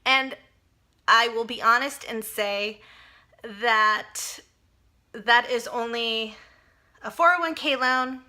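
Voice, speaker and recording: A woman in her forties talks in a loose, rambling vlog style, full of fillers and asides. Her voice sits a little high and sounds bright and crisp. Spanish was her first language. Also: takes it slowly, long pauses. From United States. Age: 40s. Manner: chatty, rambling, self-deprecating with frequent fillers. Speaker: vlogger. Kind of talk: casual personal vlog talk.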